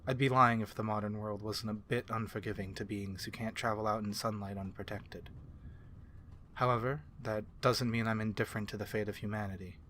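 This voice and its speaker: A man voicing a calm, courteous vampire in a soft-spoken ASMR fantasy roleplay, with measured, formal and gently reassuring speech. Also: fairly low pitch, slight background noise. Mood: grave, reflective. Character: calm, courteous male vampire ally to humans.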